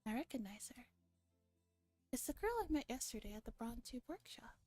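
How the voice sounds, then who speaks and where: A woman's voice: a little high, animated, a little fast, warm tone, no room sound, soft background music. A woman streamer with a playful, casually profane manner, reading a visual novel aloud and chatting casually.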